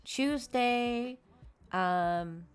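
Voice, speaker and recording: A woman's voice: fairly high pitch, extremely slow, smooth. A woman live streamer chatting casually and informally to her viewers in a friendly way. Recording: music playing behind the voice. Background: background music.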